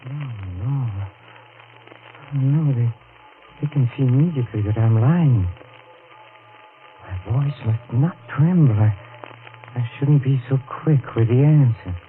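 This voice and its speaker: An actor playing a guilt-ridden, panicky murderer in 1940s radio melodrama style, halting and overwrought, repeating himself. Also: male voice, medium pitch, lightly acted. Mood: tense self-coaching. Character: guilt-ridden, panicky man who has committed a murder. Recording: scratchy, narrow 1940s radio recording.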